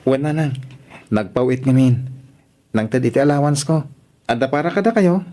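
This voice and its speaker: An actor with a northern Philippine accent playing a polite, bashful young son in a radio drama, talking in a shy, conversational way. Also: male voice, medium pitch, moderate pace, dark tone, natural voice. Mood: easygoing.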